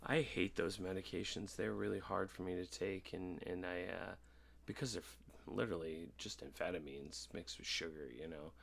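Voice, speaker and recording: Man, thirties, low-pitched, gravelly fry, halting and rambling, candid, casual podcast conversation. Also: steady tone. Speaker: podcast host. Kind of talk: casual podcast chat about personal experience.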